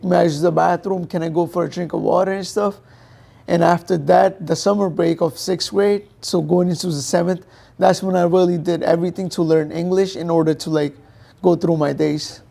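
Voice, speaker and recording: Young male guest, from Pakistan, native Urdu speaker; gravelly, dark tone, plain and unpolished delivery. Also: high voice. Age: young adult. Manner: plain, unpolished, thinks aloud with fillers. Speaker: guest, professional boxer. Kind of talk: interview answers.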